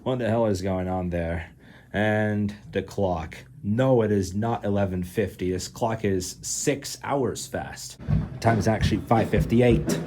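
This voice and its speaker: A man with a fairly low voice records a casual vlog. He rambles along in a joking way, often talking to himself. There is some light ambient noise, like traffic, behind him. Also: measured pace, mellow. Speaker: vlogger.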